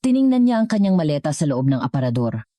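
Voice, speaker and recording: A woman reading scripted dramatic story narration in a measured way, as the narrator of an audio drama. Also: deep voice, sing-song, mellow, dry recording.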